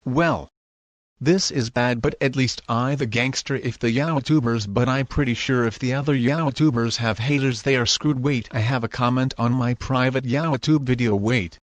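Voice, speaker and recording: A man's voice: mid-range voice, normal speed, dry recording, natural voice. A synthetic male voice playing a worried video creator in a scripted amateur drama, speaking anxious lines stiltedly. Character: worried male YouTuber besieged by online haters. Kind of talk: scripted story dialogue.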